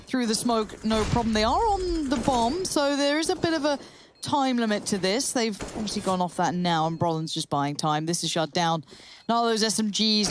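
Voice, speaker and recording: An esports commentator calling plays in a brisk, expressive way. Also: mid-range voice, noisy background.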